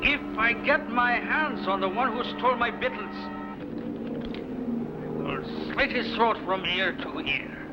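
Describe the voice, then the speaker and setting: Angry seaman, high voice, slow threatening delivery, vintage serial drama, music underneath. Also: lively intonation. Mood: furious. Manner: slow, menacing, theatrical. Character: angry hungry Spanish sailor threatening a food thief. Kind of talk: 1950s science fiction serial acting. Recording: dull vintage broadcast with music behind.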